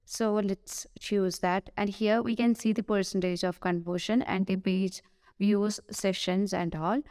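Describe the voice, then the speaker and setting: A woman with an Indian English accent giving a screen-recorded software tutorial, hesitant, with many "uh" fillers and self-corrections. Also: mid-range voice, fairly even intonation, unhurried, no echo, clean studio sound.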